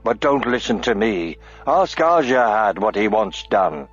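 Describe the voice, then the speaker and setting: Man doing a gruff, stubborn dwarf. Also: lowish voice, big pitch swings, unhurried, exaggerated voice. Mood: gruff, defiant. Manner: gruff, defiant. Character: stout, stubborn male dwarf warrior. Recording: lo-fi audiobook with faint music.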